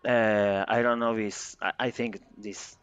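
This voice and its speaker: A man interviewing a guest, speaking haltingly with many restarts and fillers. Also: fairly low pitch, flat delivery, a bit bright, clear, resonant tone, no echo, soft background music. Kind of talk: casual interview. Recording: dull lo-fi sound.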